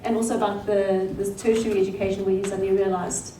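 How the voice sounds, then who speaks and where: Flat-voiced woman moderating on stage. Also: mid-range voice, quick pace. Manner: casual, loose, with many fillers. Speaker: interviewer and moderator. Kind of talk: stage interview questions. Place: event hall. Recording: roomy hall from far off with faint hum.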